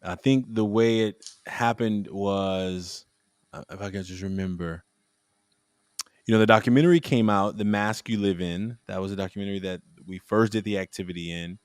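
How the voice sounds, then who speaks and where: A man hosting a casual conversation, telling stories in a rambling, animated way with frequent restarts. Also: lowish voice, normal speed, clean tone, dry recording, clean studio sound. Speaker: podcast host.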